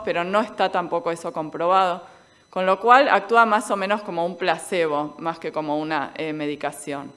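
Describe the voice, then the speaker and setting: Casting: woman with an Argentine accent, slightly low voice, as a didactic medical lecturer explaining evidence to colleagues. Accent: Argentine Spanish.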